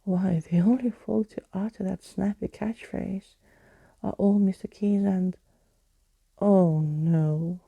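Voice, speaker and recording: A woman voicing an old living skeleton gentleman in a storybook reading, quaint and dramatic in manner. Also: mid-range voice, fairly even intonation, takes it slowly, gravelly, breathy edge, no room sound, muffled recording, full character voice. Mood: alarmed.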